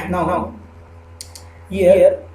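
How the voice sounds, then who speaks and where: A man giving a programming lecture, explaining step by step with halting phrasing and repeated fillers. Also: sits high, little pitch movement. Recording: echoey room with background noise.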